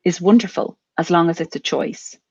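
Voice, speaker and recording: Irish woman in her forties, interview guest, thoughtful and measured, recorded dry and a bit dull. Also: fairly low pitch, a little mellow, breathy edge, dry recording. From Ireland. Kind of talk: podcast interview conversation.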